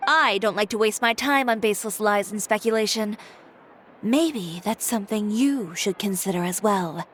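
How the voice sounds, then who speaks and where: A woman's voice: mid-range voice, moderate pace, fairly bright tone, a little hiss or hum, lightly acted. A woman playing a blunt, self-assured young fashion designer in a video game, composed and direct. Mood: brisk, dismissive.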